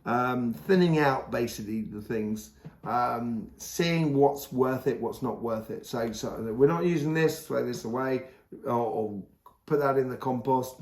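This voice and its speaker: A hoarse man giving a casual, rambling tarot reading, full of fillers and restarts. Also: mid-range voice, unhurried, reverberant. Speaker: tarot reader.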